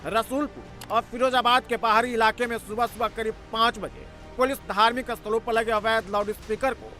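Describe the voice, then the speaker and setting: A strikingly high-pitched man narrates a news report with a sharp, forceful tone. His scripted delivery is dramatic and emphatic, with music playing clearly behind him. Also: fairly even intonation, brisk.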